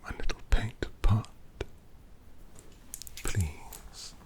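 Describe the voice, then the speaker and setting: A man voicing a possessive, teasing male vampire painter in an ASMR roleplay, speaking in a slow, coaxing and menacing whisper. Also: darkish tone. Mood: hushed, menacing.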